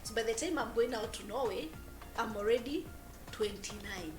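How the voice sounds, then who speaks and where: Woman in her forties, halting, roomy echo. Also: a little high, music in the background. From Kenya. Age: 40s. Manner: halting, restarts phrases often. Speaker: guest. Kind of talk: conversational interview.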